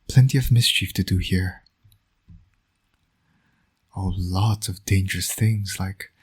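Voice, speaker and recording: Guy doing a teasing villain daddy for romance audio, lowish voice, super husky and breathy, almost whispery, smug and intimate. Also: a little mellow. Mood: teasing, playful. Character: teasing flirtatious male villain, doting new father. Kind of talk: romantic roleplay audio acting.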